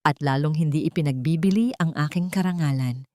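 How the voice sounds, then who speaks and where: A woman's voice: deep voice, brisk, warm tone, lightly acted. A woman voicing a proud young female job applicant, respectful and dignified, in a romance audio story. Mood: indignant. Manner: hesitant, respectful, emphatic.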